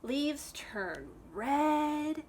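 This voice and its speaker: A woman, a children's librarian, reads a picture book aloud to young children in an expressive storytime manner, putting questions to the listeners. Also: high-pitched, very slow.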